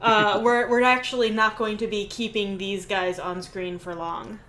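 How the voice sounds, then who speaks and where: A woman's voice: mid-range voice, fairly even intonation, normal speed, a bit bright, slight background noise. A woman hosting a tabletop game on a livestream, chatting casually in a playful, joking way and laughing readily. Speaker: game master and stream host.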